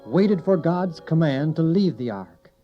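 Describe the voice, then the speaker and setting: A man's voice: on the high side, animated, a little slow. A man narrating a scripted, dramatized Bible story for children in storybook style. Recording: dull lo-fi recording with clear music.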